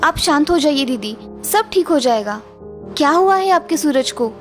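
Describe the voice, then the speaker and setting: Casting: woman as polite, capable young daughter-in-law, kids' cartoon; high voice, faint music bed. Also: animated, normal speed. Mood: concerned, reassuring. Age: young adult. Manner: reassuring, polite. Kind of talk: children's cartoon story voice acting.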